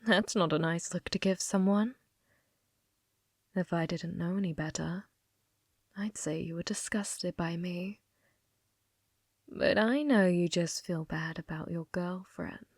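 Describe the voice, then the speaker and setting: A woman playing a possessive, manipulative schoolgirl childhood friend in an ASMR roleplay, coy and teasing, with breathy, sweetly menacing delivery. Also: on the low side, dry recording, clean studio sound. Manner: coy, teasing, drawn-out phrasing dropping into breathy whispers.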